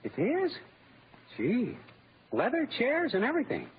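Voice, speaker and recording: Muffled vintage radio comedy, audience, naive eager husband, high lively voice, stammering. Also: male voice, unhurried, husky, exaggerated voice. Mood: sheepish, eager. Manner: hesitant, stammering, chuckling. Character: mild, eager, naive husband made parks commissioner. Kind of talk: 1940s radio comedy acting. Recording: muffled old radio broadcast with studio audience.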